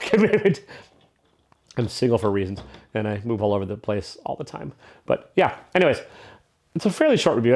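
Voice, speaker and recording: A man's voice: on the low side, darkish tone. A man giving a casual, unscripted review as a vlogger, rambling along in an irreverent, self-deprecating way.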